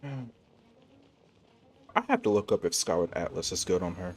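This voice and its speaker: A man's voice: sits low, lively intonation, slow pace. A man livestreaming a video game, chatting casually and talking to viewers in chummy slang. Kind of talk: casual gaming stream chat.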